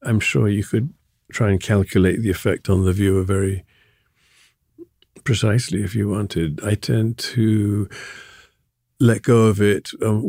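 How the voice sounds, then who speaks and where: An older man from England, answering interview questions in a thoughtful, halting way with soft laughs between thoughts. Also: lowish voice, dry recording, clean studio sound. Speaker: artist being interviewed. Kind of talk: reflective conversational interview.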